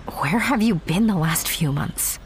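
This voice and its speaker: Brief: woman as sarcastic young theater girl, animated pitch, faint hiss. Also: a little slow, low-quality recording. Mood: wry. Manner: sarcastic, guarded. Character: wry young theater girl, new girlfriend. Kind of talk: audio drama acting.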